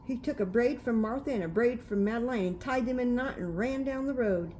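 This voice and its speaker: A woman's voice: a little high, a little fast, darkish tone, slight background noise. An older woman, a grandmother reading a storybook aloud to a child in a homely, chatty way. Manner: homely, chatty, pointing things out.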